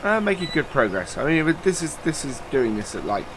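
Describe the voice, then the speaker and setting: A man's voice: fairly high pitch, sing-song, brisk, background noise. A man with a nasal, stuffed-up voice from a cold, rambling through casual let's-play commentary with lots of fillers. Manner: chatty, rambling, many uh fillers. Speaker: gaming channel host.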